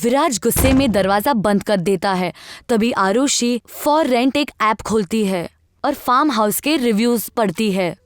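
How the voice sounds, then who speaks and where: A woman's voice: fairly high pitch, a little slow. A woman reading scripted narration for a horror story as the storyteller.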